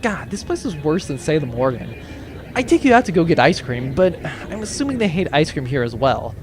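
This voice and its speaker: A man's voice: a little high, big pitch swings, brisk, music in the background, pitched below the performer's own voice. A man playing a laid-back spaceship crewman with a dry, understated, wry delivery. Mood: wry, grumbling. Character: laid-back, wry male spaceship crewman, consoling big-brother type.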